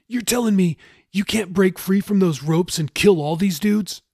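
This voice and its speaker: A man's voice: high-pitched, moderate pace, creaky, darkish tone, no echo, studio quality, pitched above the performer's own voice. A man voicing a desperate young male captive sailor in an audiobook, pleading and agitated in slangy speech. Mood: nervous, insistent.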